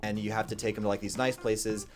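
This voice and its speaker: A man's voice: on the low side, little pitch movement, fast. A man in a brash, profane ranting monologue, giving blunt dating advice to camera. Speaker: dating coach.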